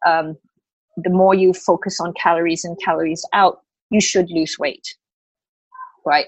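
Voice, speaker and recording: A woman's voice: lowish voice, husky, dry recording, low-quality recording. A woman in her late thirties who grew up in Australia, a health coach talking in a podcast interview, hesitant with many fillers and restarts. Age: late 30s.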